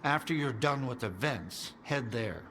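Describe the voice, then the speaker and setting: An actor playing an anxious scientist who explains grave matters earnestly in a video game. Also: male voice, medium pitch, animated, measured pace, sharp tone, a little hiss or hum. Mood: explaining, helpful. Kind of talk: video game cutscene acting.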